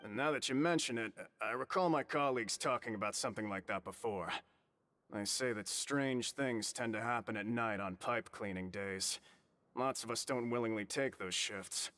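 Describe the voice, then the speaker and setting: A man acts out a video game prison guard with a medium-pitched voice. He is stern and suspicious, talking in a curt, authoritative way as if keeping watch on troublemakers. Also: moderate pace, bright, forceful tone, close to an everyday voice. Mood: explaining, guarded.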